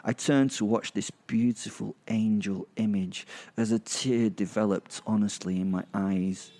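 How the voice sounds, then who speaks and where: A man narrating a horror audio drama in the first person, in character, with sardonic, halting delivery and dramatic pauses. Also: on the low side, steady tone, warm tone.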